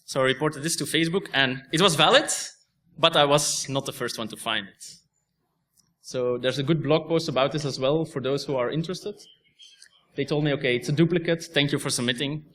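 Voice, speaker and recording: A man's voice: on the high side, a little fast, fairly bright tone. A young man from Belgium, a native Dutch speaker, giving a technical talk with a Belgian Dutch accent and explaining step by step with frequent restarts. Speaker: conference speaker.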